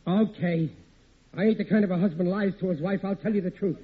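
A man's voice: high voice, fairly even intonation, brisk, slight background noise, natural voice. An actor playing a sheepish, blustering, middle-aged American working-class husband and father in an old radio sitcom, with hesitant, ungrammatical speech. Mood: sheepish excuses. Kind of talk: 1950s radio sitcom acting. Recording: tinny old radio broadcast recording.

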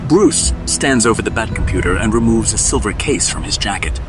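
A man's voice: fairly low pitch, lively intonation, brisk, music in the background. A man narrating an audio drama in a dramatic, scripted style.

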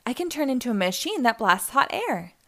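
A woman's voice: on the high side, sing-song, a little fast. A woman voicing a brave, upbeat young male monster truck who exclaims with energy in a children's storybook. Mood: excited.

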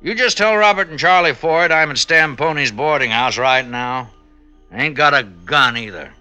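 An actor playing a bold, goading American sheriff in an old-time radio western, with a folksy, insistent way of talking. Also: male voice, on the high side, lively intonation, moderate pace, sharp tone, clean tone. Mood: firm, pressing. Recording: dull vintage radio recording, faint music.

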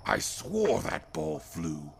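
Dog-boy henchman, gravelly fry, wildly swooping pitch, pompous poet, indignant and eager, fantasy comedy audio play. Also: huge pitch swings, slow, a little hiss or hum, exaggerated voice. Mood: pompous reciting. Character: excitable talking dog-boy minion, an aspiring bad poet. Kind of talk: comic fantasy audio drama.

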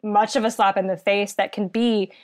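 A woman's voice: medium pitch, flat delivery, moderate pace. A young woman from southeastern Virginia recommending a book in a casual chat, earnest and thoughtful, rambling a little.

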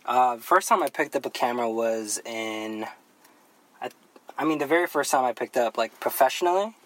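A man's voice: mid-range voice, lively intonation, normal speed. A young man from the Philippines, a guest photographer, chatting in a laid-back, rambling way with lots of fillers. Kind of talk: casual podcast chat.

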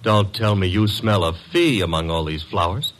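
An actor playing a young, cheeky detective's assistant in an old radio drama, flippant, slangy and streetwise. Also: male voice, low-pitched, lively intonation, normal speed. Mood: wry.

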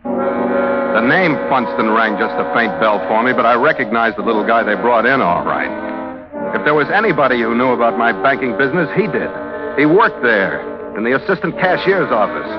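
A man's voice: mid-range voice, big pitch swings, moderate pace, close to an everyday voice. Man acting a tough, sardonic American sea captain, old radio. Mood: hard-boiled, brooding. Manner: terse, wisecracking. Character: tough, sardonic male sea captain, narrating his adventure. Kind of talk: old-time radio drama, hard-boiled first-person narration. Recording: dull vintage radio recording, music behind.

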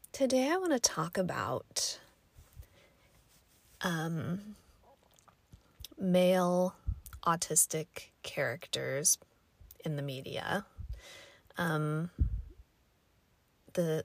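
A young woman talks through a casual solo podcast, her voice hoarse and scratchy from allergies. She speaks low with a gravelly fry, thinking aloud and rambling with plenty of fillers. The sound is dry and close, with no room around it. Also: expressive, extremely slow, long pauses, no room sound. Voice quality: raspy, scratchy voice. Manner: thoughtful, rambling, many fillers. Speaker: podcast host.

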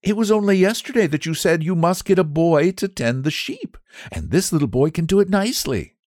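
A man voicing a gentle, sweet-natured young lady, a squire's daughter, in a storybook reading. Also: fairly high pitch, lively intonation, brisk, no room sound, clean studio sound, voice pushed up, natural voice. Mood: pleasant. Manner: sweet, coaxing.

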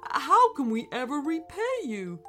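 A woman's voice: sits high, wildly swooping pitch, a little slow, fairly bright tone, faint music behind, pitched above the performer's own voice, exaggerated voice. Woman voicing a gushing, weepy big storybook crocodile. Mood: tearful gratitude. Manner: gushing, effusive, emotional. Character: big weepy sentimental crocodile, male, fond of his friend. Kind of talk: children's storybook character voice.

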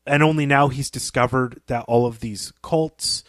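A man's voice: mid-range voice, fairly even intonation, normal speed, fairly bright tone, dry recording. Man discussing literature, dry close sound. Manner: thoughtful, analytical, articulate. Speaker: podcast co-host.

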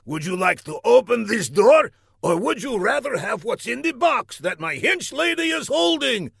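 A man voicing a bombastic, short-tempered villain mastermind in a comic audiobook, theatrical and given to shouting. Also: extremely high pitch, big pitch swings, normal speed, gravelly, husky, no room sound, clean studio sound, pitched above the performer's own voice, full character voice. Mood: gloating showman. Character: bombastic, short-tempered male villain mastermind, mock game-show host.

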